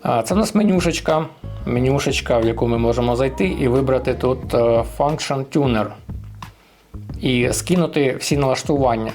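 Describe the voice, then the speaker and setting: A man's voice: mid-range voice, normal speed, a little mellow, soft background music. A man explaining equipment settings step by step in a casual hands-on tutorial, often using cute diminutive words. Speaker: amateur radio vlogger.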